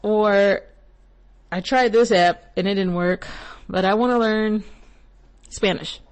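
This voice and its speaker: A woman's voice: medium pitch, takes it slowly. A young millennial woman hosting a podcast, giving casual spiritual advice in a chatty, rambling way with frequent asides and laughs. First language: English. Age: young adult. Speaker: podcast host.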